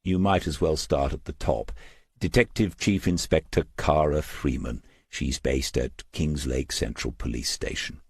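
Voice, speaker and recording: Man acting retired policeman, audiobook figure, low husky voice, wry, deliberate, understated. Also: darkish tone, dry recording, clean studio sound, full character voice. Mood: matter-of-fact. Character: shrewd, dry-witted retired male police detective.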